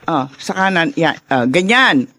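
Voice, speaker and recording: Bossy woman with lively pitch. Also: a little slow, exaggerated voice. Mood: busy, directing. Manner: instructing, everyday chatter. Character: bossy woman directing a helper in a chore. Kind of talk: radio drama acting.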